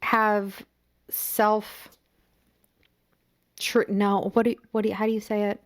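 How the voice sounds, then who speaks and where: A woman in her thirties co-hosting a casual podcast, playful and teasing, with lively reactions, drifting on and off the mic. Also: mid-range voice, slow pace. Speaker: podcast co-host. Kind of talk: casual chat. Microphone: studio podcast mic, moves in and out.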